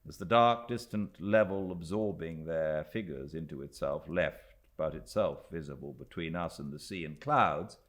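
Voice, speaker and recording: A British man narrating classic fiction in an expressive, deliberate way, with dramatic pauses. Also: rumbling low. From Britain. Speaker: audiobook narrator. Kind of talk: scripted literary narration.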